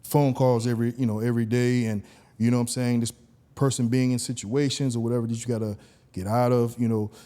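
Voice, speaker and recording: A man hosting a podcast, talking in a relaxed, conversational way with lots of "you know"s. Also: mid-range voice, fast. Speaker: podcast host.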